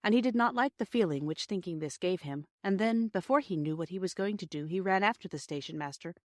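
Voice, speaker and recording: A woman's voice: lowish voice, fairly quick, no room sound, clean studio sound. A woman reading a storybook aloud as scripted narration. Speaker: audiobook reader.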